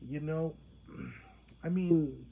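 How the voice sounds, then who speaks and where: A man in his twenties rambling to his viewers in a monologue, halting often with restarts and fillers. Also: on the high side, gravelly, mellow, slight background noise. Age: 20s. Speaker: vlogger. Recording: phone-like narrow sound.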